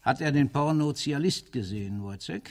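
A man in a German radio drama playing a weary, sardonic middle-aged general and party boss with a husky voice and dry, condescending delivery. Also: on the high side, animated, normal speed, darkish tone. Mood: commanding.

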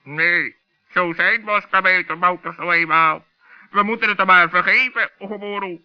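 Stern, scolding wise raven. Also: male voice, high voice, a little slow, smooth, voice pushed up. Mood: grudgingly forgiving. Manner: scolding, grave. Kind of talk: children's radio play acting. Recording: dull old radio recording.

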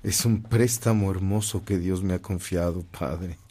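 A man playing a gentle, devout priest in a radio drama, speaking with great courtesy and quiet humor. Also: sits low, fairly even intonation, a little slow. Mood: humble. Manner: polite, soft-spoken courtesy. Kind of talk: Spanish-language radio drama acting.

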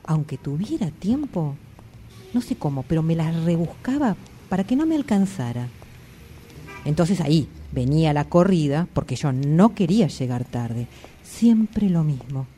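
A woman's voice: low-pitched, very animated, measured pace, mellow, a little hiss or hum. A woman acting an anxious, introspective character in a radio drama monologue, confiding and dramatic, with a Buenos Aires accent. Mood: brooding. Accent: Argentine, Buenos Aires. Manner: confiding, dramatic, reflective with colloquial swearing. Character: anxious, introspective woman haunted by always running late.

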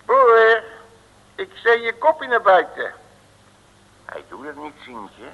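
An actor playing a nervous, blustering, folksy detective in a comic radio play from around 1960, grumbling in excitable colloquial speech. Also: male voice, high-pitched, slow pace, vocal fry, heavily acted. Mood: cheeky, bluffing. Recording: old muffled radio recording with long reverb.

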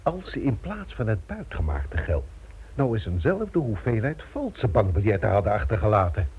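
Eager scheming insider, very raspy, persuasive, tinny phone-like old radio sound. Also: male voice, fairly low pitch, big pitch swings, normal speed, full character voice. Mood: persuasive, confident. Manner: insistent, persuasive, rhetorical. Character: eager, scheming male security-firm employee pitching a heist. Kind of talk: Dutch radio play acting. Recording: tinny, band-limited old radio recording.

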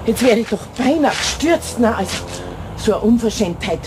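A woman's voice: a little high, animated, normal speed, background noise, natural voice. A woman playing an indignant elderly passer-by in a children's radio play, grumbling and accusing in a Bavarian accent.

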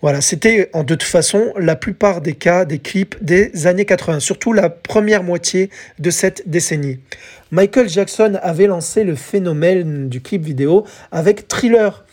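A woman with a slightly low, husky voice presents as a podcast host. She explains in a conversational way, chatting straight to the listener and correcting herself as she goes. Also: moderate pace, a little mellow. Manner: chatty, self-correcting, addresses listeners directly.

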